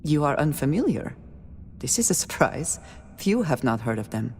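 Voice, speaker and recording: A very deep-voiced woman space explorer, guarded and formal, choosing words with steely calm. It is video game cutscene dialogue with long hall reverb and faint music behind. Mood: guarded, stern. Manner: formal, measured speech without contractions.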